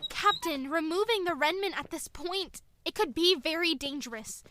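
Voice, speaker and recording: An actress playing an earnest, cautious healer and adviser in an audio drama. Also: female voice, very high-pitched, lively intonation, normal speed, a bit bright. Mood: worried.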